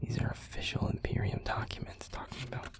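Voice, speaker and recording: A man playing a bitter, cynical werewolf pack enforcer in an audio roleplay, with a husky, gruff, confrontational delivery. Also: a little fast, whispered, close to an everyday voice. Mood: startled, tense. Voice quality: gravelly, husky, breathy. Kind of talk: scripted audio roleplay acting.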